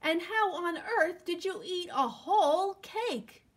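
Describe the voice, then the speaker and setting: Woman voicing a high, emphatic storybook dog owner. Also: animated, takes it slowly, exaggerated voice. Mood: exasperated. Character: dog's male owner, caring but exasperated.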